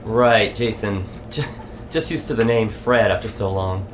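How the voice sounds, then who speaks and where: A man's voice: fairly low pitch, measured pace, background noise, lightly acted. A man playing an earnest, down-to-earth character in an audio drama, speaking in a plain, conversational way. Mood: puzzled.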